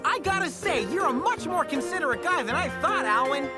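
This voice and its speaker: A woman's voice: high-pitched, lively intonation, fairly quick, over loud music. A woman voicing a small, cheeky, chatty cat-like sidekick in a video game. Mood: teasing, impressed. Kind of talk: animated video game acting.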